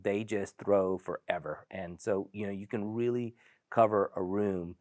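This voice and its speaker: A man's voice: fairly low pitch, steady tone, measured pace, a little mellow, no echo, studio quality. A man hosting a product discussion, leading it with enthusiasm. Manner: enthusiastic, leads the conversation. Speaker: host.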